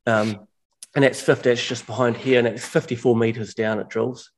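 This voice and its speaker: A man talks through a video call from a large, bare workshop room. His pitch sits in an ordinary middle range, and he wanders through his explanations, restarting and correcting himself as he goes. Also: little pitch movement, moderate pace. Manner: rambling, self-correcting explanations. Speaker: mushroom farmer guest. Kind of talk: casual video call chat. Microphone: video call, headphones on.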